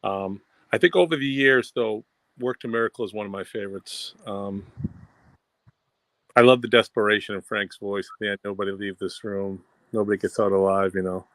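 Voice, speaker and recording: A man's voice: fairly low pitch, steady tone, moderate pace, a bit bright, no echo. A man with a Boston accent chatting as co-host, enthusiastic and rambling with fillers. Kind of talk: casual fan discussion.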